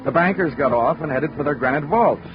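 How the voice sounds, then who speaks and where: An actor playing a wry, flirtatious insurance investigator with deadpan delivery in 1940s radio detective drama. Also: male voice, a little high, fairly quick, natural voice. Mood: wry, dry. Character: wisecracking flirtatious male freelance insurance investigator. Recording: dull vintage radio recording with music behind.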